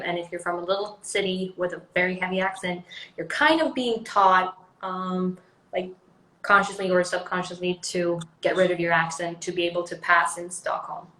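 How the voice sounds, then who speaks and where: A woman's voice: fairly low pitch, a bit bright, reverberant, slight background noise, poor audio. A young adult woman from Sweden with a Swedish accent, chatting casually as an interview guest, rambling with many ums and likes. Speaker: actress guest.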